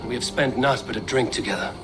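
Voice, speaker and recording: An actor playing a stern, dry-witted Viking warrior in a video game, speaking in measured, terse, old-fashioned phrases. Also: male voice, mid-range voice, noisy background, natural voice. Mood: wry, warm. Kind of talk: video game cutscene acting.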